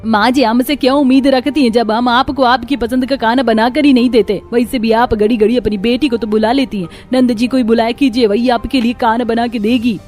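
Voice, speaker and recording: Haughty cartoon sister-in-law played by a woman, high and snippy, faint music. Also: fairly even intonation, fairly quick, hardly any pauses, a little mellow, lightly acted. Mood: defiant. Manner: curt, snippy. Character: haughty, irritable elder sisters-in-law, defiant about cooking.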